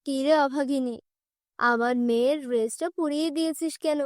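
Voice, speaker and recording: A cruel, scornful stepmother from a cartoon fairy tale , played by a woman dropping her pitch. She scolds and threatens harshly. The recording is dry and close. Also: normal speed, darkish tone, no echo, close to an everyday voice. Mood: angry. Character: cruel, scolding stepmother, middle-aged villain.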